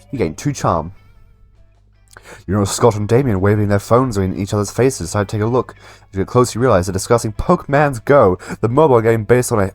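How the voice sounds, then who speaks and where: A man's voice: fairly low pitch, dry recording. A man playing a video game, reading it out and commenting casually, often tripping over words. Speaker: gamer reading a dating game aloud. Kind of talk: playthrough narration with casual commentary.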